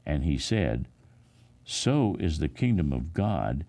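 A man reading scripture aloud as a pastor, in a solemn, measured and deliberate way with emphatic phrasing. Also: very deep voice, big pitch swings, mellow.

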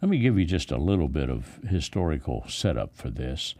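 A man reading the Bible aloud as a pastor, in a deliberate, solemn, phrase-by-phrase delivery. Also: a bass voice, big pitch swings, darkish tone, no room sound. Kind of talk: measured Bible reading with brief commentary.

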